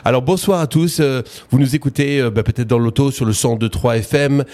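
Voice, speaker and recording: A man's voice: medium pitch, a little fast, a little mellow. A man with a French accent hosting a casual radio interview, chatty and full of fillers. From France. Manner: friendly, chatty, many fillers and repeated words. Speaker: radio show host.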